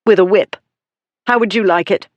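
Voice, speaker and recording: A narrator voicing an indignant aristocratic young lady who scolds crisply and imperiously. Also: mid-range voice, animated, normal speed, lo-fi sound, voice pushed up, close to an everyday voice.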